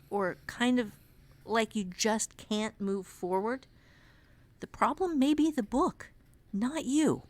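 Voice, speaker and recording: A woman co-hosting a podcast, giving casual advice in a deliberate, emphatic way. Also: fairly high pitch. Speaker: podcast co-host and writing teacher.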